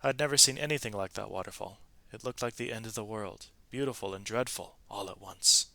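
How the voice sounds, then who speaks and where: A man's voice: fairly low pitch, a little fast, gravelly, bright, forceful tone, dry recording, full character voice. A man reading the words of a plain-spoken, reflective elderly woman in a storybook. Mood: wistful. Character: candid, wistful elderly woman recalling her life.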